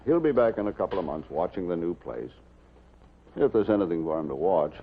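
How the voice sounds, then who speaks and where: Gruff low-voiced American police captain. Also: male voice, sing-song, brisk, a little hiss or hum. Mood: matter-of-fact. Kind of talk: 1950s television drama acting. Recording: dull, muffled 1950s television soundtrack.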